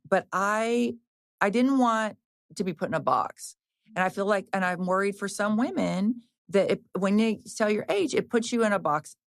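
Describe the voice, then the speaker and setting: A woman's voice: on the low side, dry recording, clean studio sound. A woman in her fifties, a personal trainer, talking casually and frankly with many restarts and the odd swear. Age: 50s. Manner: chatty, rambling, frequent restarts and fillers. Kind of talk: casual podcast conversation.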